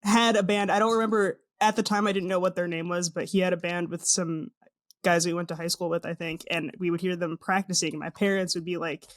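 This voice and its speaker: A woman's voice: lowish voice, flat delivery, a little fast. A woman hosting a casual podcast, chatty and giggly. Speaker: podcast host.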